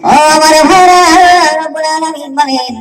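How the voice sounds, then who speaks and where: A woman's voice: sits high, moderate pace. A woman preacher telling a religious story as a sermon, dramatically and with repeated phrases for emphasis. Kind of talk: sermon storytelling with acted dialogue. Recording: echoey, distant mic, music behind.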